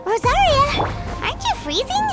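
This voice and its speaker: Super squeaky little fairy girl, bouncy game sidekick, pitch all over the place, game music behind. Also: normal speed. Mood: chatty. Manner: excitable, high and squeaky, talks of herself in third person. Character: tiny chatty floating fairy companion girl.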